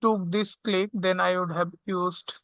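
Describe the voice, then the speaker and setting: A tutorial instructor walking through software step by step, often checking in with a short questioning tag. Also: medium pitch, flat delivery, a little slow, no room sound. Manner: checks understanding with frequent "okay?".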